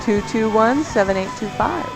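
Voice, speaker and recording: A woman's voice: fairly high pitch, normal speed, creaky, over music, poor audio. A woman co-hosting a live radio call-in show, chatty with listeners. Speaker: radio co-host.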